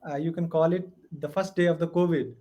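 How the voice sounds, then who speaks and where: A man from India speaking with a mild Indian accent, his voice sitting a little high. He talks earnestly and carefully, pausing often on "uh" to find his words. He is heard over a video call. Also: steady tone, warm tone, muffled recording. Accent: Indian English. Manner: earnest, careful, with many "uh" pauses. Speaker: student, software entrepreneur. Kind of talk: English conversation practice.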